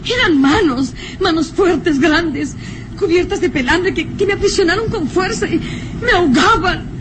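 An actress playing a fragile, shaken young woman in halting, breathless, melodramatic radio serial style. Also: very high voice, lively intonation. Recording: noisy old radio recording.

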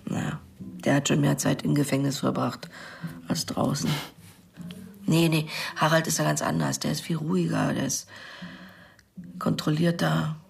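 Low, very husky middle-aged mother. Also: level tone, moderate pace, long pauses, full character voice. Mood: rueful. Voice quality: husky, breathy, gravelly. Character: plain-spoken working-class mother, rueful about her brother. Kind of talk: naturalistic radio crime drama acting.

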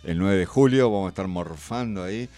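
A man's voice: deep voice, fairly quick, warm tone, faint music behind. A man with a Rioplatense Argentine accent hosting a radio show in casual banter.

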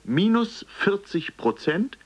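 A man's voice: high voice, big pitch swings, slow, slightly rough, heavily acted. A man playing a commanding fitness coach in an audio drama, giving clipped instructions and slogans. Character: commanding male fitness coach, drilling clients. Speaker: fitness trainer.

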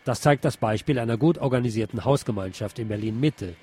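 A man's voice: medium pitch, brisk, dark tone, husky. A man hosting a radio programme, reading a scripted political commentary. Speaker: radio host.

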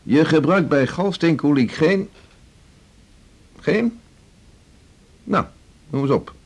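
An actor in a 1980s Dutch radio drama playing a blunt, teasing middle-aged senior doctor, brusque and bossy. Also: male voice, fairly high pitch, expressive, slow pace, a little mellow, exaggerated voice. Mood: teasing, testing.

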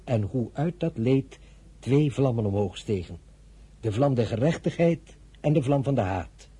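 Grave, husky, dark-voiced father. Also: mid-range voice, animated. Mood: grave, impassioned. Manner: grave, deliberate, oratorical. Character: solemn, idealistic father advising his young daughter. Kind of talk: radio play acting.

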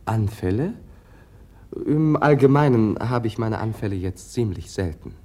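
An actor in a 1950s German radio play, playing a gentle, naive young prince who speaks politely, earnestly and hesitantly. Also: male voice, lowish voice, expressive, a little slow. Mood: candid, earnest.

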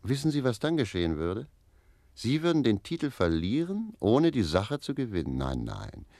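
A man's voice: mid-range voice, huge pitch swings, normal speed, a little mellow, no room sound. A man playing a cheeky, self-assured manservant in a radio play, arguing and philosophizing in literary dialogue. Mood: insistent. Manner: argumentative, philosophizing.

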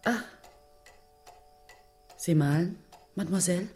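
Blunt, low-voiced female reporter. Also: a little slow, mellow, slightly rough, faint music behind. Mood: probing. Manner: direct, probing. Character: blunt, fed-up, persistent female investigative journalist. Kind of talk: German radio crime drama acting.

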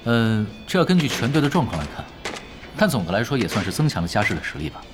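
Man playing a laid-back, animated young pro gamer. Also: medium pitch, quick pace, lightly acted. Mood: calm, analytical. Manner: relaxed, nonchalant, analytical. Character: young male esports pro player, laid-back, teasing, expert. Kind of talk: audio drama acting, conversational. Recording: music behind the voice.

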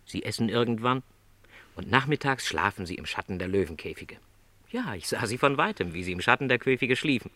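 A man playing a lonely, middle-aged travelling salesman in a radio play, polite, gentle and resigned, reflecting hesitantly. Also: on the low side, very animated, a little fast, no room sound. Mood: wistful, reflective. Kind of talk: German radio play dialogue.

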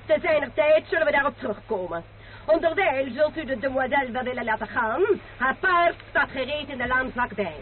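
An actor playing a haughty, meddling chevalier in a radio play, speaking in a dry, condescending manner. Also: male voice, extremely high pitch, expressive, fairly quick, slight background noise. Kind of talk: vintage radio play acting. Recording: tinny lo-fi old radio broadcast.

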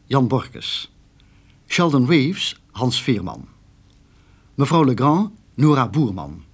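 A man with a husky voice reading radio play credits in a measured, formal announcer style. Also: mid-range voice.

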